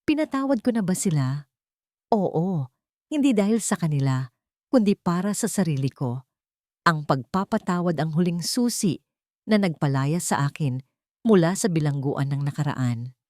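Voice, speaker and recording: A woman's voice: low-pitched, lively intonation, moderate pace, pauses often, warm tone, no room sound, clean studio sound. A woman reading a scripted dramatic first-person story as an audiobook narrator.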